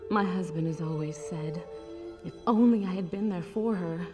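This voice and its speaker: An actress playing a weary, sorrowful wife in a video game, speaking gently in a hushed, wistful way. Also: female voice, fairly low pitch, normal speed, music in the background, lightly acted. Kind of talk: dramatic video game acting.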